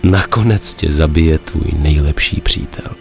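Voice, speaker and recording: A man's voice: rumbling low, vocal fry, soft background music. A man playing a terse, grave mafia enforcer with a conscience in a dubbed video game. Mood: reflective. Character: stern, conflicted male mafia enforcer with a conscience.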